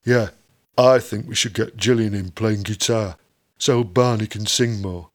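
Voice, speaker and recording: A man's voice: fairly low pitch, fairly even intonation, unhurried, lightly acted. A man voicing a blunt, casual band manager with a Northern English accent and an offhand manner, in an audiobook.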